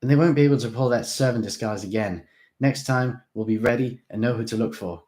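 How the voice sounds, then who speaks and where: A man voicing a young male half-vampire who speaks boldly and forcefully. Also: mid-range voice, normal speed, voice pushed up, natural voice. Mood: upbeat. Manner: forceful, accusing.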